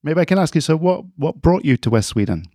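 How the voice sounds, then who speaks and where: A man's voice: on the high side, very animated, fast, warm tone, dry recording. A man from the UK hosting a podcast, upbeat with frequent hesitations, in a studio. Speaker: podcast host.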